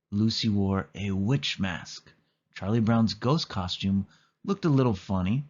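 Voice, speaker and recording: A man's voice: fairly low pitch, dull audio. A man narrating a children's storybook as a scripted read-aloud.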